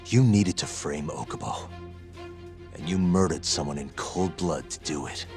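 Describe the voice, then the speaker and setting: An actor playing a steely investigator in a video game, speaking in a measured, accusing way over music. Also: male voice, very deep voice. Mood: cold accusation. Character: steely adult male investigator confronting a suspect. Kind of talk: English video game dub acting.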